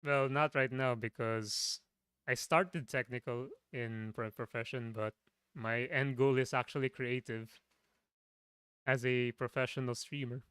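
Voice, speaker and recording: Low-voiced man chatting casually. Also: fairly even intonation, unhurried, a bit bright, clear, resonant tone, no room sound. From Philippines. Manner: rambling, with frequent restarts. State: voice tiring. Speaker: streamer. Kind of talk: casual stream chat.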